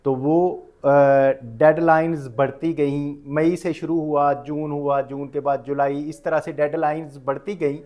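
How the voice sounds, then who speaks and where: A man's voice: fairly high pitch, little pitch movement, a little slow, hardly any pauses, darkish tone. A man with a Pakistani accent giving an informal explanatory lecture, mixing in English terms and restating his points in an instructive way. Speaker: tax professional giving a lecture.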